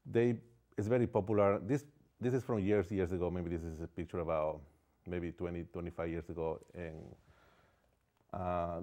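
This is a man in his forties with a deep, low voice and an Ecuadorian Spanish accent. He speaks as a guest in a small TV studio, picked up on a lapel mic. His English comes slowly and haltingly as he searches for words. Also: dark tone. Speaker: guest artist. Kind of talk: casual interview chat.

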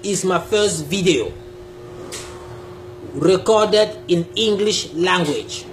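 Slow, emphatic man. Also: sits high, slight background noise. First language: Bassa. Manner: deliberate, emphatic, gestures a lot. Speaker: YouTube creator. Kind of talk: direct-to-camera talk on spirituality. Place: small indoor room, seated on a sofa.

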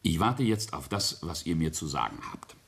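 An actor playing an arrogant middle-aged family patriarch in a radio play, deliberate and self-justifying, with a husky, gravelly voice. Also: male voice, a bass voice, big pitch swings, slight background noise, full character voice. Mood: solemn, insistent. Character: arrogant, coldly defiant family patriarch confessing a murder.

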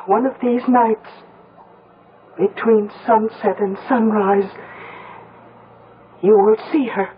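Radio play casting: woman playing grave, fearful believer in vampires, unhurried delivery, thin muffled vintage broadcast. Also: mid-range voice, steady tone, noisy background, lightly acted. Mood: ominous. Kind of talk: 1970s radio drama acting.

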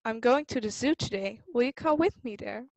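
A woman reading a storybook and speaking the lines of a kind father bunny in a gentle, inviting way. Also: on the high side, a little fast, creaky, dry recording, low-quality recording.

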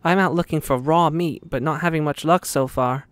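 A woman's voice: deep voice, moderate pace, close to an everyday voice. A woman voicing a troubled young villager in an anime game, polite and plain-spoken. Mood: hopeful, worried.

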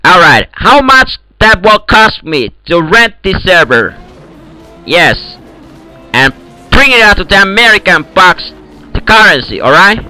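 A man's voice: high-pitched, sing-song, unhurried, sharp tone, no echo, faint music behind, rough recording. A man with an Eastern European accent trolling other players in game voice chat, boastful and in broken English, over a headset. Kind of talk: prank call banter in game voice chat.

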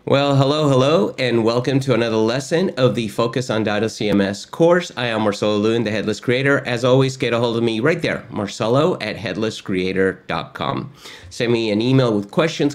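A man's voice: medium pitch, moderate pace. A man in his fifties from Argentina, hosting an online lesson and interview in an upbeat, chatty way with frequent filler words, recorded at a desk in a home studio. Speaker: host. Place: home studio with gaming chair.

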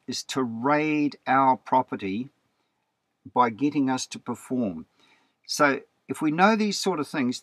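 A man's voice: mid-range voice, creaky, a bit bright, no room sound. A man explaining legal terms over a slideshow, in a plain teaching manner with frequent okays and repeated words. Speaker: presenter.

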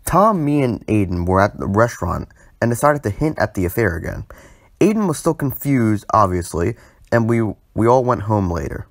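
A man's voice: lowish voice, big pitch swings, moderate pace, vocal fry, no echo, studio quality. A young man narrating a storytime video informally, with a sardonic manner and bursts of exaggerated emphasis.

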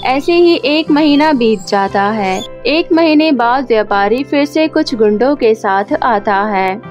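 High-pitched woman storyteller. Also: expressive, slow, a little mellow. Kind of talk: scripted story narration. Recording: music under the voice.

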